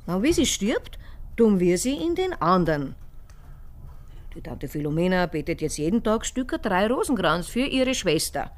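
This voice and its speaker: A woman's voice: medium pitch, sing-song, moderate pace, lightly acted. An actress with an Austrian accent playing a plain-spoken, worn rural mother in a wartime radio drama, colloquial in her telling. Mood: recounting.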